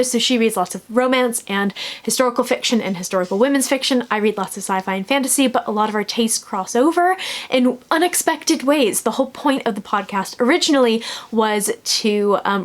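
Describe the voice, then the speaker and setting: A woman with a fairly high voice talks casually, as in a personal reading vlog. She rambles along in a chatty, informal way and is quick to laugh at herself. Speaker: book vlogger and podcast co-host.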